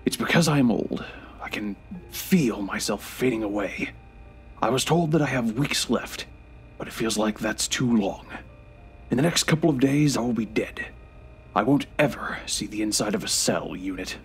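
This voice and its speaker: A frail, dying grandfather of ninety-three, played by a male actor in an audio drama. He is defiant yet weary, picking his words slowly and deliberately, often sinking toward a breathy whisper. Music plays faintly behind him. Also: fairly low pitch, natural voice. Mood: bitter, resigned. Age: 90s as played.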